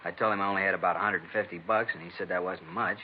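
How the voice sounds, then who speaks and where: A man playing a low-voiced, quick, plain-spoken baker. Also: fairly even intonation, natural voice. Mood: matter-of-fact. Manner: casual, folksy, matter-of-fact. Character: plain-spoken male bakery shop owner, unfussy robbery victim. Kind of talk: 1950s radio drama dialogue. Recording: dull, noisy old radio recording.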